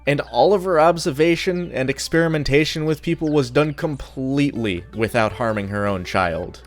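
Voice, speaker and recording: A man's voice: mid-range voice, normal speed, soft background music. A young adult man reading a scripted review in a casual, opinionated, sardonic way. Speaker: video reviewer.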